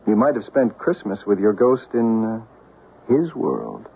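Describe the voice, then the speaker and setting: Chill ghost hunter, gravelly and husky, tinny radio. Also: male voice, on the low side, very animated, unhurried, very noisy, exaggerated voice. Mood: wry. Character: calm male paranormal investigator, wry. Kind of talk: 1970s radio drama acting. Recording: tinny old radio broadcast.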